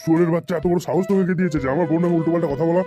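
A man's voice: fairly high pitch, steady tone, very fast, over music, close to an everyday voice. A man playing a hot-tempered, blustering, foul-mouthed gang boss in an amateur comedy cartoon. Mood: angry, threatening. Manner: blustering, threatening, swearing.

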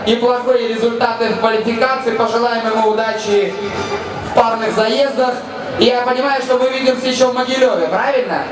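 High-pitched man announcing over echoey PA. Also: fairly quick. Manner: loud energetic announcing, shouting to crowd. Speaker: event announcer. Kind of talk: live event announcing over PA. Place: outdoor drift track. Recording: echoey PA sound from far off with music.

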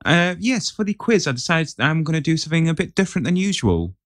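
A man's voice: on the high side, big pitch swings, quick pace, a bit bright, no room sound, clean studio sound. A man on a podcast setting a quiz, chatting casually and reading riddles aloud.

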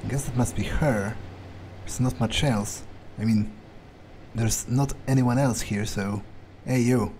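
A man's voice: medium pitch, fairly even intonation, measured pace, darkish tone, a little hiss or hum, rough recording. Blunt, sweary man roleplaying a game. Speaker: game player speaking as his character. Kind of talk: in-character game roleplay commentary. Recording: faint music behind.

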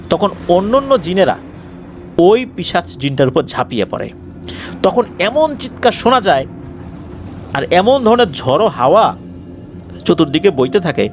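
A man's voice: a little high, slight background noise. A man hosting a horror show, telling a story to listeners in a dramatic, emphatic, suspenseful manner.

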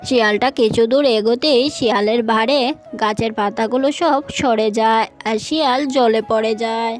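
A woman's voice: on the high side, moderate pace. A woman narrating a scripted children's cartoon story. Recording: music bed behind the voice.

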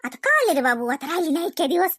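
A woman's voice: high-pitched, expressive, rapid-fire, fairly bright tone, no echo. A woman playing a chatty married village daughter in a rural comedy cartoon, with a colloquial, rambling Varhadi Marathi manner. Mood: protesting, insistent. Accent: Varhadi Marathi, Vidarbha.

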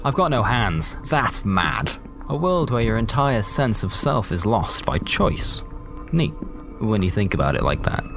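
Very deep-voiced British male storyteller. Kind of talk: first-person scripted comic narration. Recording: loud music bed under the voice.

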